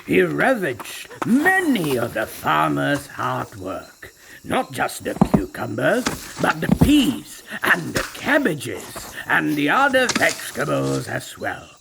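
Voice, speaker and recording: A man's voice: on the high side, wildly swooping pitch, takes it slowly, gravelly, very noisy. Man playing a boastful ancient tiger narrator. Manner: theatrical and self-satisfied. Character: magical ancient male tiger, proud and boastful storyteller. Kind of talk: scripted storybook narration. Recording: narration over loud music.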